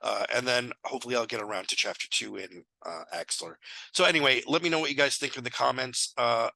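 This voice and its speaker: A man in his thirties talks through math proofs in a relaxed, thinking-aloud way, his voice hoarse and gravelly from illness. His tone is sharp and bright. The sound is dry and close, from a headset mic in a small room. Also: medium pitch, fast talker, no echo, rough recording. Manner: casual, thinking aloud with fillers. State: sick, losing his voice. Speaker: math tutor. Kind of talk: casual lecture walkthrough.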